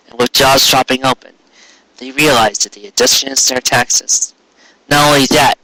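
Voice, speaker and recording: Male audiobook-style narrator, bright forceful tone, dramatic storytelling, very dry close sound. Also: mid-range voice, no room sound, low-quality recording. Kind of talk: expressive scripted fiction narration.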